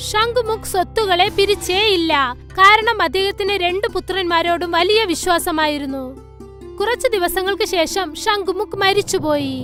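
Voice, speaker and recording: A woman's voice: very high-pitched, normal speed, fairly bright tone. A woman narrating a scripted children's fairy tale as storyteller. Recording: faint music under the voice.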